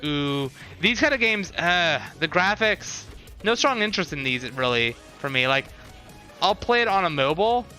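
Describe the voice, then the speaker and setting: A man's voice: on the high side, sing-song, moderate pace, bright, forceful tone, faint music behind. A man in casual live stream chat, thinking aloud and talking with his viewers as he reacts.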